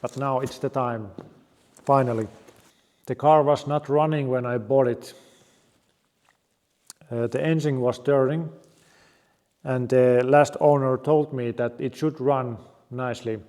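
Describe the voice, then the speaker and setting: Slow, mellow Finnish-accented man in a reverberant garage. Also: medium pitch, fairly even intonation. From Finland. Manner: unhurried, plain-spoken, halting non-native English. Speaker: car restoration vlogger. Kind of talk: casual presentation to camera. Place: home garage.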